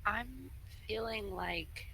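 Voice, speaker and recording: A raid leader organizing an online game group in casual voice chat, hesitant and thinking out loud. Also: medium pitch, extremely slow, vocal fry. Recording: faint noise on gaming voice chat.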